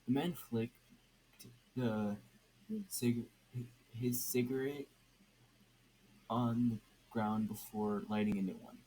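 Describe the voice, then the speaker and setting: A man reading scripted fiction aloud as an amateur narrator, halting and stumbling a little over the text. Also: medium pitch, steady tone, warm tone. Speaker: podfic co-reader. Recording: noisy amateur home recording.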